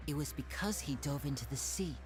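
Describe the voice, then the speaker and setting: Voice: mid-range voice, fairly quick, lightly acted. An actor voicing a composed, clever young man in an anime game, articulate and analytical, protective of his own. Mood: thoughtful. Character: composed, clever young male stage magician, protective brother. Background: game music.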